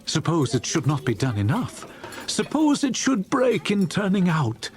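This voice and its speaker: A man narrating a dramatized classic story as an audiobook, in a theatrical, expressive storytelling manner. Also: high-pitched, measured pace, soft background music.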